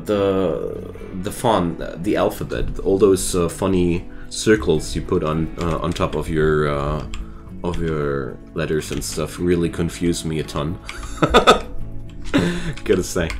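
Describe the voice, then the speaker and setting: A man who is not a native English speaker, chatting casually and rambling with viewers while streaming a game. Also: rumbling low. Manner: rambling and chatty, restarts thoughts.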